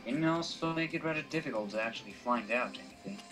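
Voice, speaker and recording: A man voicing a calm, dry-witted, worldly male companion in a visual novel, speaking in a measured, understated way. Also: mid-range voice, lightly acted. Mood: calm, probing. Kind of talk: amateur visual novel voice acting. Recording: faint music under the voice. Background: faint music.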